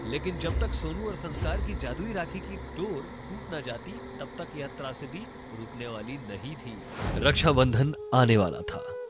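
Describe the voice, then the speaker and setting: A man's voice: a little high, lively intonation, measured pace, hardly any pauses, background noise. A man narrating a scripted horror story for a cartoon. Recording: music behind the narration.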